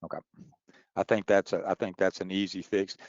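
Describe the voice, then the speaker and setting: Guy with a low voice running webinar q&a, informal, kinda muffled dry sound. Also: level tone, brisk, no room sound. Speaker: webinar moderator. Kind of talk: informal moderated Q&A. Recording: dull, dry webinar audio.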